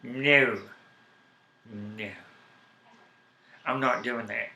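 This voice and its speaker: A man with a heavy Southern accent chatting casually on his live stream, rambling and animated, repeating himself when worked up. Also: medium pitch, very slow, roomy sound. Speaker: YouTube streamer.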